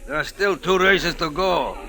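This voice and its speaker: A man playing a huge, genial, unhurried Greek gambling-parlor boss in an old-time radio drama. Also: on the high side, sharp tone. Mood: shrugging, assured.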